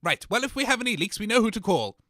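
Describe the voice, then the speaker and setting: Sarcastic, grumpy comedy host; lively, bright, dry close-mic read. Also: male voice, sits high, fast, dry recording. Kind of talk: scripted comedy sketch.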